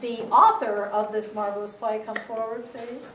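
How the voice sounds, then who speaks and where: A woman's voice: on the high side, steady tone, moderate pace, fairly bright tone, distant mic, a little hiss or hum. A woman making casual announcements to a church audience, chatting and laughing. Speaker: church event organizer. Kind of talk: informal announcements. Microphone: distant room mic. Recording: roomy church recorded from afar with crowd.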